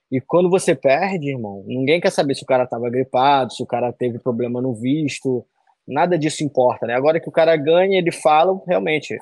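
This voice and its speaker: A man's voice: mid-range voice, a little mellow, no echo. A man in his thirties answering interview questions over a video call from a small room, talking loosely with many fillers and tag questions. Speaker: fighter interviewee.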